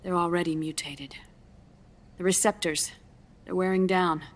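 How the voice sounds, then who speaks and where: A woman playing a grave scientist in a video game, explaining a dire diagnosis in a measured, solemn, clinical manner. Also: lowish voice, fairly even intonation, natural voice. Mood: explanatory, urgent. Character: grave female scientist gently delivering a dire prognosis. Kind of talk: dramatic video game cutscene acting.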